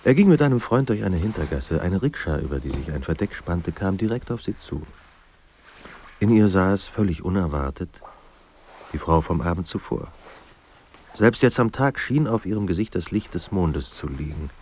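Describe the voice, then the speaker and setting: A man performing as a somber narrator of a troubled writer's life in a radio drama, with measured, grave delivery. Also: low voice, animated, a little mellow, a little hiss or hum. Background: music.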